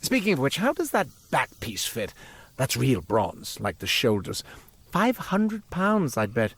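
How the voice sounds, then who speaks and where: A man's voice: medium pitch, huge pitch swings, a little slow, fairly bright tone, husky, no room sound, full character voice. An actor playing a cursed, self-pitying merchant in a video game, with wry, florid, melodramatic salesman patter. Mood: showy sales patter. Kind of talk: scripted video game character monologue.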